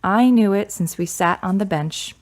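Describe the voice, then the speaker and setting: An actress playing a kind, reserved grieving daughter in a radio drama, speaking in a measured, earnest way. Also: female voice, mid-range voice, lightly acted. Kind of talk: serial radio drama acting.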